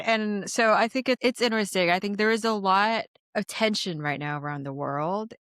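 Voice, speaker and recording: A woman's voice: fairly low pitch, fairly bright tone, no echo, clean studio sound. A woman hosting an interview podcast, talking in a reflective, earnest and hopeful way. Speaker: podcast host. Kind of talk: conversational interview.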